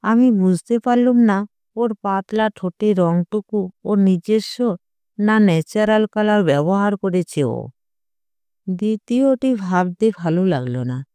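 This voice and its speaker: Slow, mellow storyteller with a clean, smooth voice. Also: medium pitch, no echo. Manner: measured, literary storytelling. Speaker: audio story narrator. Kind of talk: scripted audio story narration, first person.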